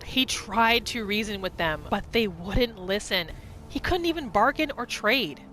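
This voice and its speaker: A woman's voice: medium pitch, lively intonation, measured pace, pushed and bright, a little hiss or hum. A woman hosting a gaming video, narrating her roleplay playthrough in a casual, chatty storytelling way. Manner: chatty, informal, storytelling in third person.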